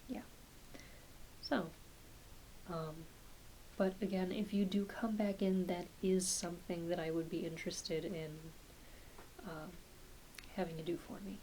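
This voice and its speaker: A woman acting as a gentle female doctor in an ASMR exam roleplay. Her voice is soft and on the low side, with slow, hesitant phrasing that often slips toward a whisper. Clear hiss sits behind her. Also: fairly even intonation, rough recording. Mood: gentle, reassuring. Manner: soft-spoken, hesitant, halting, often trailing into a near-whisper. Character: gentle, reassuring, slightly scattered female doctor for werewolf patients. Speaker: ASMR performer. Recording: close home recording with clear hiss.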